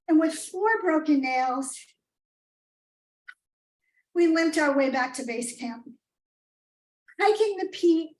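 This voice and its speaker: A middle-aged American woman giving a rehearsed, humorous storytelling speech in a theatrical manner with dramatic pauses. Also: very high voice. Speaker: speech contestant. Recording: roomy, echoing live speech pickup.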